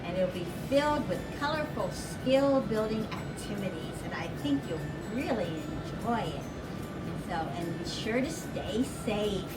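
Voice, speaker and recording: A woman storyteller reading aloud to young children in an expressive, direct way, as at a library storytime. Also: mid-range voice, unhurried, loud music behind the voice. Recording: distant mic with loud background noise.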